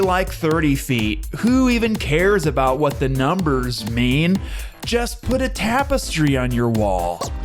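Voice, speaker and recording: A man playing a laid-back, dim slacker shop owner in a parody radio commercial, stuttering and rambling in slang. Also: on the high side. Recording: music bed under the voice.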